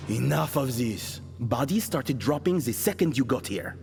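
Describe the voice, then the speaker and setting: Dry, sarcastic hitman, lively intonation. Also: medium pitch, normal speed, vocal fry, in a large hall, over music. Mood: accusing. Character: irritable, world-weary hired killer interrogating a woman. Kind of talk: audio drama acting.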